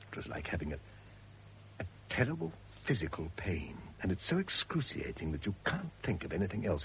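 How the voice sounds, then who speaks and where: Deep-voiced, tender condemned man. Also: lo-fi sound, slight background noise, exaggerated voice. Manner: literary, reflective, tender, with whispered drops. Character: condemned husband, gentle, reflective, calmly facing death. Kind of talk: classic radio drama acting.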